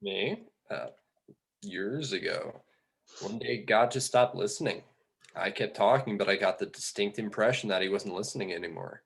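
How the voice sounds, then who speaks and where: Low, gravelly, brooding man acted out. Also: normal speed. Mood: brooding, guarded. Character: brooding, embittered man who lost faith in God. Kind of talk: table read of a film script.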